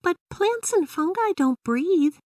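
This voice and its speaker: A woman's voice: extremely high pitch, animated, takes it slowly, darkish tone, no echo, clean studio sound, distant mic, voice pushed up, exaggerated voice. A woman voicing a curious, eager little girl in a children's storybook, with a wide-eyed, inquisitive manner. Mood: puzzled.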